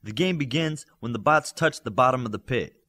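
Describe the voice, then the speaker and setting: Man acting a loud, commanding superhero coach. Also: mid-range voice, a little fast, voice pushed up, natural voice. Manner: loud, clipped, authoritative. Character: stern, commanding male coach training young superheroes.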